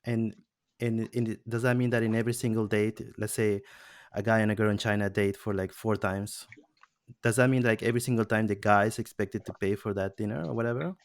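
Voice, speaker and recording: Low-voiced man from Peru hosting. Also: steady tone, fairly quick, dry recording, studio quality. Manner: thoughtful, hesitant with restarts. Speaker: podcast host. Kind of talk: casual podcast discussion.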